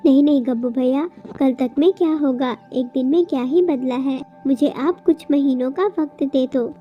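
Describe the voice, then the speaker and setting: A woman's voice: high voice, normal speed, dull audio, faint music behind, natural voice. A woman voicing an anxious, tender widowed mother bird in a children's cartoon, pleading and earnest. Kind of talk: Hindi children's cartoon dialogue.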